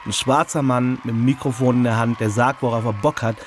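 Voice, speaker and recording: A man's voice: mid-range voice, steady tone, a little fast, slight background noise. A man, a hip-hop artist, giving casual, opinionated interview answers in a blunt, emphatic, colloquial way.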